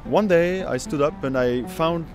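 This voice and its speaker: A man in his thirties whose first language is Dutch talks in a reflective interview, taking his time and searching for words with frequent fillers. Clear instrumental music plays beneath his voice. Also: fairly high pitch, measured pace. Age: 30s. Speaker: oud player. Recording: music playing behind.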